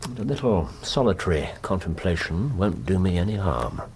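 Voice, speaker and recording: Brilliant reflective detective, English, deep voice with sweeping intonation, period radio play. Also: male voice, sing-song, normal speed, a little mellow, a little hiss or hum. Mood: wry, humbled. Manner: formal, reflective, theatrical phrasing. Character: brilliant Victorian detective, pensive, humbled, courteous. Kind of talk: period radio drama acting.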